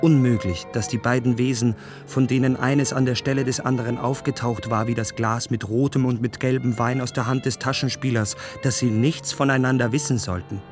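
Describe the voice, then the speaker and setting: An actor in a radio play voicing an earnest, bewildered young gentleman given to brooding, literary inner monologue. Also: medium pitch, steady tone, a little fast, over music, close to an everyday voice. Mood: brooding, searching. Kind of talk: German radio play acting.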